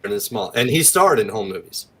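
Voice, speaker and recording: A man's voice: fairly low pitch, big pitch swings, fairly quick, crowd noise. A man chatting casually as a guest in an interview. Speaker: guest actor and producer. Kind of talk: casual chat.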